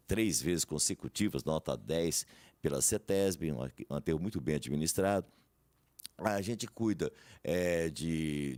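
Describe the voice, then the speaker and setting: Homem de voz grave e seca, hesitante. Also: male voice, very deep voice, a little mellow, no echo. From Brazil, grew up in São José dos Campos. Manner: rambling, hesitant, tags sentences with "né?". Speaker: guest, company director. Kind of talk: radio interview.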